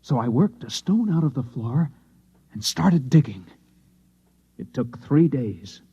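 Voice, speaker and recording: A haunted Martian man in an old radio drama. He speaks haltingly and earnestly in a dark, husky voice. The recording is dull and aged. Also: mid-range voice, animated, heavily acted. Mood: haunted, distressed. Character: dismal, shaken Martian man, last of his kind.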